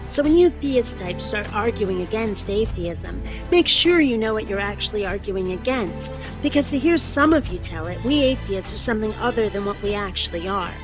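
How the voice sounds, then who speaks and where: A woman's voice: mid-range voice, animated, moderate pace, hardly any pauses, music in the background. A woman video blogger delivering a scripted opinion monologue to viewers in an emphatic, explanatory way.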